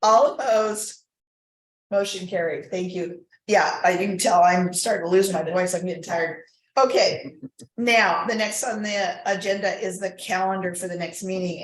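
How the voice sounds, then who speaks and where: A woman chairing a formal board meeting, moving through procedure with conversational, informal asides. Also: lowish voice. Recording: echoey room recording.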